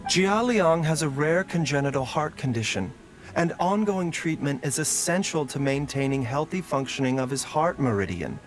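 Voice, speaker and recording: A calm, scholarly young doctor from a video game, acted by a man. His voice sits a little high and he explains things in a measured, courteous, formal way. Soft music plays behind him. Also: close to an everyday voice. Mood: calm, reassuring.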